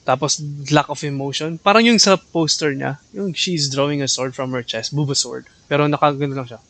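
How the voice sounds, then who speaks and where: A man's voice: a little high, brisk, fairly bright tone. A man with a Filipino accent giving casual live game commentary, chatty and switching between Tagalog and English. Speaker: game livestreamer. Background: faint game music.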